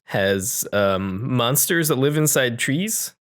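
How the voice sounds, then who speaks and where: A man's voice: medium pitch, lively intonation, slow, a bit bright. Joking man in casual podcast banter. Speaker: podcast co-host.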